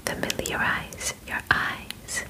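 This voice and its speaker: A female alien examiner, acted out by a young woman in an ASMR roleplay. She whispers softly and breathily, very close to the mic. Her instructions come slowly and deliberately, formal and clinical yet reassuring. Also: natural voice. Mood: calm, clinical. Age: young adult. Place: bedroom, indoors. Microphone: close sensitive microphone.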